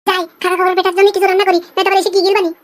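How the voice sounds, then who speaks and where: A man voicing a sharp-tongued, whining young village wife in an amateur comedy cartoon, pitch-raised. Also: completely flat delivery, racing. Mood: grumbling, scolding. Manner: whining, scolding. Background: music behind the voice.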